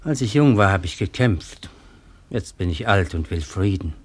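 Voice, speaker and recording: An actor playing a weary, calculating old Malay ruler in a radio drama, commanding and deliberate in manner. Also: male voice, low voice, lively intonation. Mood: stern, threatening. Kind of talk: German radio drama acting.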